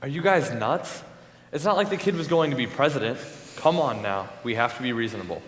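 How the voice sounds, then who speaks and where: A man's voice: medium pitch, animated, a little fast, natural voice. A man playing a blunt, casual, incredulous juror in an amateur stage play. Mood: exasperated. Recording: echoey hall, long reverb.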